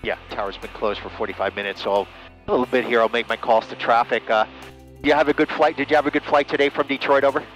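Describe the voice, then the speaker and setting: A man chatting over ham radio, relaxed and enthusiastic, with lots of fillers, from a small plane cockpit and his radio room. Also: medium pitch, fast talker, soft background music, poor audio. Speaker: private pilot and ham radio operator. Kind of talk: casual radio chat.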